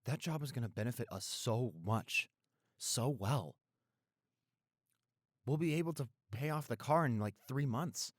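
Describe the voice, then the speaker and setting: A man playing a caring, apologetic young boyfriend in an intimate roleplay audio, speaking earnestly and gently. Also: medium pitch, animated, unhurried, long pauses, no echo, clean studio sound. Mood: earnest, reassuring. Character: apologetic, caring young boyfriend soothing his upset girlfriend.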